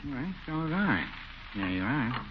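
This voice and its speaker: An actor playing a mild, rambling, folksy neighborhood druggist in a 1940s radio comedy, on a muffled old recording. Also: male voice, medium pitch, sing-song, measured pace, noisy background. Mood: mild, stubborn. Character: folksy, literal-minded old neighborhood druggist, chuckling at his jokes. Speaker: supporting comic character.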